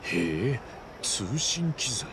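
An actor playing an earnest, excitable, rough-edged gangster hero in a game, with a gravelly voice and boyish, casual delivery. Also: male voice, lowish voice, very slow, background noise, heavily acted. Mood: puzzled, eager. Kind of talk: animated Japanese game cutscene acting.

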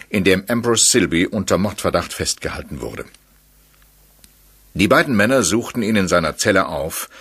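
An actor narrating a radio crime story in a sober, measured and precise storytelling manner. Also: male voice, low-pitched, fairly bright tone, husky, heavily acted. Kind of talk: scripted narration for radio play.

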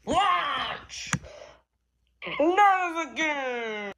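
A man voicing an excitable, easily upset plush toy with whiny, wailing outbursts. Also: extremely high pitch, drawn-out words, voice pushed up, exaggerated voice. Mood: alarmed.

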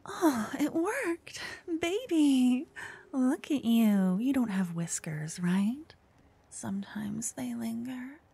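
Woman acting a slow, breathy jealous witch girlfriend. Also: on the high side, expressive, warm tone, exaggerated voice. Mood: relieved, tender. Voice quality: breathy, often dropping to a whisper. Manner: dramatic, swinging from cooing to venting. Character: jealous young witch girlfriend, doting yet vengeful. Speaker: ASMR roleplay performer. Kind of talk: ASMR roleplay, intimate and breathy. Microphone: close ASMR microphone.

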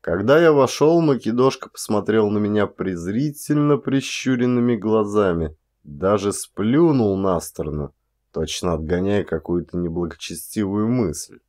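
A man reading literary prose as an actor, in expressive scripted narration. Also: fairly low pitch, moderate pace.

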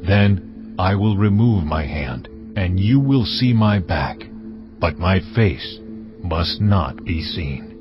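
An actor playing God in a dramatized audio Bible, speaking in a slow, measured, commanding way. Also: male voice, deep voice, expressive. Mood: solemn. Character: God, deep solemn voice of the Lord. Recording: slightly dull audio with music bed.

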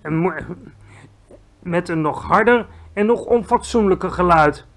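Man voicing a polite young girl, patient, courteous questions, high for a man, storybook reading. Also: a little slow, fairly bright tone.

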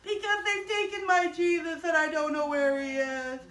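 Lady doing a distraught woman mourner from a bible story, really high pleading voice, roomy and far from the mic. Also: level tone, a bit bright. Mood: tearful wailing. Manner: wailing, drawn-out, repetitive pleading. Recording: roomy, distant mic, faint noise.

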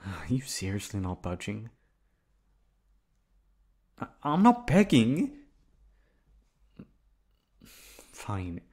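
Man playing a coaxing boyfriend, very expressive. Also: lowish voice, extremely slow, pauses often, breathy edge. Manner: coaxing, playful, pleading. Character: teasing, pleading, affectionate young boyfriend. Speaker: voice actor performing an audio roleplay. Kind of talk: ASMR boyfriend roleplay, intimate acting.

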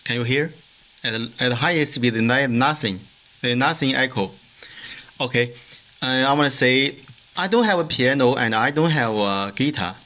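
A man giving an informal demonstration of a hand-made device in halting, non-native English. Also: medium pitch, pushed and bright.

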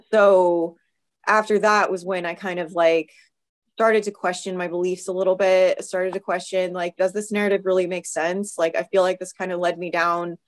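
A woman in her thirties with a permanently hoarse, raspy voice, talking as a guest on a video call, thoughtful and full of fillers and restarts. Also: fairly low pitch. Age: 30s. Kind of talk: casual video-call interview. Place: home room. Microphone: video call webcam.

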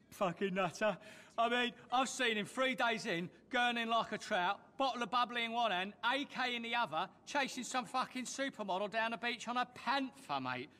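Mad english party dj, druggy and laddish, really high sharp voice, reverby game cutscene. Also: male voice. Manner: laddish, slangy, boisterous. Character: wild, druggy British party-animal DJ. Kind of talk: video game cutscene acting.

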